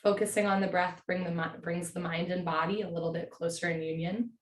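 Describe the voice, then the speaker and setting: Hoarse woman guiding yoga slowly. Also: on the low side, fairly even intonation, roomy sound, a little hiss or hum. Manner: slow, gentle, soothing instruction. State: hoarse from a cold. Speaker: yoga teacher. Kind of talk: guided yin yoga class. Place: home.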